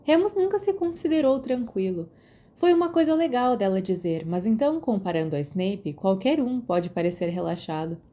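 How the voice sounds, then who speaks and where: A Brazilian woman narrates scripted fiction. Her voice has a relaxed, mellow colour and sits at an average pitch for a woman. She reads as a storyteller would for a podfic. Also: moderate pace.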